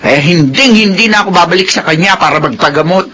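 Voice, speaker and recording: A woman's voice: fairly low pitch, fast talker, over music, natural voice. A woman playing a sick, weary, stubborn mother in a radio drama, plaintive and emphatic. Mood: bitter, stubborn. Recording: faint background noise.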